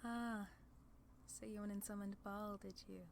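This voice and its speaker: A woman's voice: mid-range voice, flat delivery, a little slow, creaky, slight background noise. Demon lord, played by a woman: female, mid-register, haughty, amused, condescending, theatrical ASMR roleplay with faint background ambience. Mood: sly curiosity.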